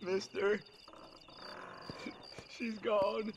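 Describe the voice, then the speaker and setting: An actor playing a mournful, helpless Old West husband in a video game, with a rustic American accent. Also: male voice, sits high, little pitch movement, extremely slow, background noise, heavily acted. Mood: distraught. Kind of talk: video game western acting.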